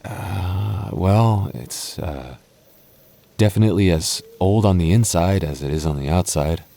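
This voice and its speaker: A man playing a nervous, affectionate young boyfriend in an intimate roleplay audio drama, speaking softly with hesitant stammers. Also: low voice, unhurried, creaky. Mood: uneasy, intrigued. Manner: hesitant, stammering, soft-spoken intimate delivery.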